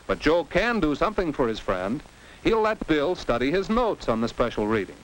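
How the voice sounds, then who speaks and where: Animated American man narrating a vintage classroom film. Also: fairly high pitch, moderate pace. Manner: didactic, posing rhetorical questions and exclaiming like a game commentator. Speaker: narrator of an educational film for teens. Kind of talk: 1950s instructional film narration, scripted. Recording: noisy old 1950s film soundtrack.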